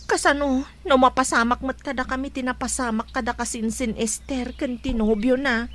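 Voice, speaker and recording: A young expectant daughter in an Ilocano radio drama. Her voice sits very high, with an Ilocano accent, and she speaks respectfully, with a soft-hearted, worried air. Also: fairly even intonation, normal speed, a little hiss or hum.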